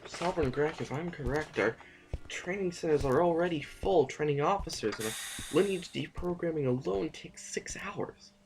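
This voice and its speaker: Male actor as skeptical council officer, gravelly fry, higher male pitch, pragmatic, hesitant, audio drama with music. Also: normal speed, natural voice, rough recording. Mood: skeptical, concerned.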